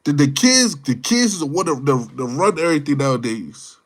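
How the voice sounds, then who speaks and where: Male livestreamer, mid-twenties, high-pitched voice, off-the-cuff slangy talk that loops back on itself. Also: big pitch swings, brisk. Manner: slangy, rambling, repeats phrases and words. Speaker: livestream host chatting with viewers. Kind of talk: casual live chat, off-the-cuff opinion.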